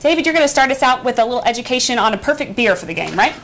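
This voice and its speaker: A woman co-hosting a TV kitchen segment, chatting casually and prompting her guest with quick, breezy questions. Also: a little high, big pitch swings, fairly bright tone, slight background noise. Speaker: TV co-host presenting a cooking segment. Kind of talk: casual live interview chat. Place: TV studio kitchen.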